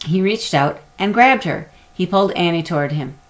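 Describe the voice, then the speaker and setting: A woman narrating a children's chapter book aloud in an expressive storytelling manner. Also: lowish voice, normal speed. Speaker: storybook reader.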